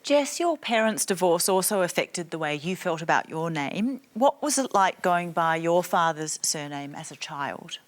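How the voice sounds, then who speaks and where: A woman's voice: on the low side, very animated, moderate pace, few pauses. A woman hosting a studio discussion, asking guests direct questions. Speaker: host.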